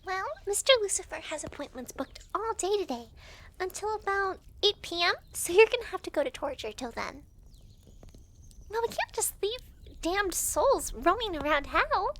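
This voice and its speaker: A woman's voice: very high-pitched, sing-song, a bit bright, exaggerated voice. A woman playing a chatty, teasing young devil girl clerk in an ASMR roleplay, speaking to the listener in a breezy, giggly way. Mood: matter-of-fact, teasing. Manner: giggly, breezy, teasing, halting when flustered.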